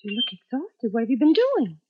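High-voiced long-suffering wife, radio drama. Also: female voice, huge pitch swings, a little fast, husky, exaggerated voice. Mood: worried. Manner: earnest, plaintive, gently reproachful. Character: long-suffering, loving young wife and mother, weary, patient. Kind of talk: 1950s radio drama acting. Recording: dull 1950s radio recording.

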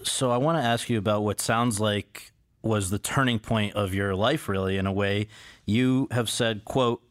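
A man's voice: lowish voice, fairly even intonation, normal speed. A man hosting an interview podcast, recorded in an office. He moves from a scripted introduction into easy, conversational questions. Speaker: podcast host.

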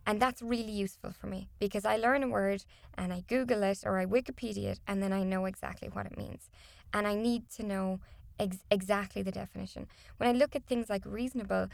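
A woman whose first language is not English explains contract pitfalls in an interview, emphatic and steady. Her mid-pitched voice has a gravelly fry, and the sound is close and dry. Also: no echo. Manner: explanatory, emphatic, some restarts. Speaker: contracts and disputes consultant. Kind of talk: interview answers.

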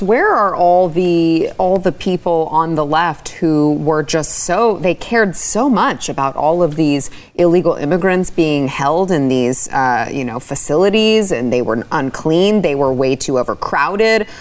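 Low-voiced sarcastic woman host. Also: expressive, a little slow. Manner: sarcastic, opinionated. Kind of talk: political talk show.

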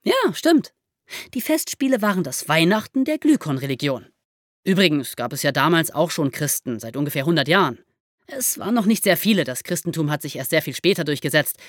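A woman hosting a podcast, explaining history as lively storytelling in simple, conversational terms. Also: deep voice, fairly quick, no echo, studio quality. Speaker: podcast host telling history stories.

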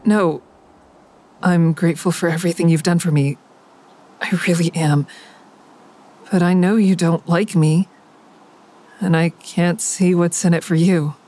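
A woman's voice: lowish voice, steady tone, a little slow, long pauses, warm tone. An actress playing a guilt-laden, wary woman in danger in an audio drama, speaking in an earnest, confiding way. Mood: earnest, anxious. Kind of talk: full-cast audio drama acting and inner narration.

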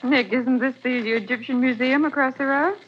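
An actress voicing an inquisitive young woman in a vintage radio drama, her fairly high voice full of questions. The sound is dull and lo-fi, with faint hiss. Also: fairly even intonation, normal speed, close to an everyday voice. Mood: curious. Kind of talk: 1940s radio acting.

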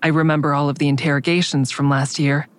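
A woman's voice: low voice, little pitch movement, fast, natural voice. An actress playing an anxious, defensive woman under interrogation in an audio drama, guarded and earnest. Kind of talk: audio drama acting with first-person narration.

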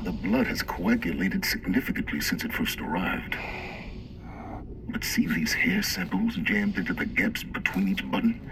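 An actor playing a clinical, matter-of-fact investigator at a crime scene in an audio drama. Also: male voice, sits low, expressive, normal speed, poor audio. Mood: clinical, grim. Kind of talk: audio fiction drama acting. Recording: clear music bed behind the voice.

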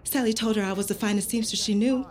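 An actress playing a practical, hopeful wife in a period audio drama, folksy and persuasive. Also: female voice, mid-range voice, fairly even intonation, fast, a little mellow, natural voice. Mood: elated.

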